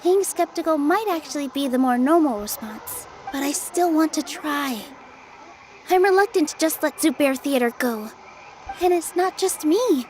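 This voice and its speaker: Young female dancer, high voice, gentle, earnest, modest, video game dialogue, slight background noise. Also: expressive, normal speed. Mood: determined. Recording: faintly noisy game capture.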